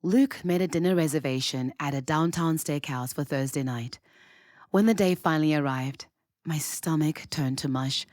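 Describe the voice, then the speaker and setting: A woman's voice: low voice, normal speed, a little mellow, no room sound, clean studio sound, lightly acted. An actress playing a young single woman, anxious and wry about romance, in a naturalistic audio drama. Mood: reflective narration. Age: young adult. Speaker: lead character and narrator. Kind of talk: naturalistic audio drama dialogue and first-person narration.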